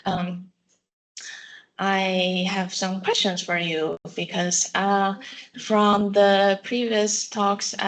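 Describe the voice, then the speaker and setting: A woman giving an academic conference presentation, pausing often with filler sounds. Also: mid-range voice, creaky, poor audio. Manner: halting, many filler sounds. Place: seminar room.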